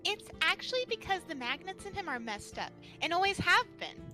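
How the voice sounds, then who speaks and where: A woman with a high, very lively voice, bright and forceful in tone. She co-plays a visual novel on stream and teases her friend. Game music plays faintly behind her. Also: a little fast. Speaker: co-player. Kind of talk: playing a visual novel on stream, voicing characters.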